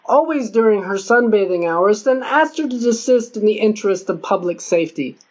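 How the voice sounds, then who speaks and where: A woman's voice: medium pitch, expressive, moderate pace. Female audiobook narrator, scripted first-person fiction, mid-range voice, slightly muffled lo-fi recording. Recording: dull lo-fi audio.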